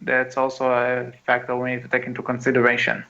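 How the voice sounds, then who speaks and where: A man co-hosting a podcast in casual discussion, speaking in a hesitant, halting way. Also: medium pitch, monotone, creaky, bright, forceful tone. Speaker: podcast co-host. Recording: dull, muffled lo-fi mic.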